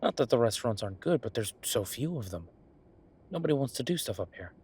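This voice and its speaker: Audio roleplay chef, played by a man: male, easygoing, conversational and musing, medium pitch with a husky edge. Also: big pitch swings, fast, a little mellow, exaggerated voice. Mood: earnest, casual. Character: easygoing male chef planning restaurant business with his partner. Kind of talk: one-sided roleplay acting, casual chat.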